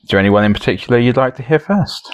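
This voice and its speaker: A man's voice: medium pitch, expressive, fast, clear, resonant tone. A man hosting a podcast game show, chatting in casual comic banter.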